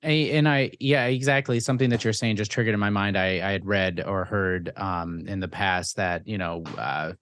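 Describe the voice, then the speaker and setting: A man's voice: sits low, normal speed, few pauses, a bit bright, dry recording. A man hosting a podcast, talking in a casual, reflective way and hesitantly searching for his words. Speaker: podcast host.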